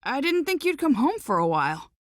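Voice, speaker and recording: A woman's voice: high-pitched, very animated, fairly quick, no room sound, studio quality. A woman voicing an upbeat, boyish young son in an English anime game dub. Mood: curious.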